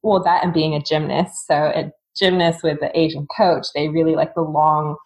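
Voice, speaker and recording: A woman's voice: deep voice, flat delivery, moderate pace, low-quality recording. A woman in her thirties from Singapore, a fitness coach telling her story as a podcast guest in a chatty, candid way, often laughing mid-sentence. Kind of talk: casual conversational storytelling.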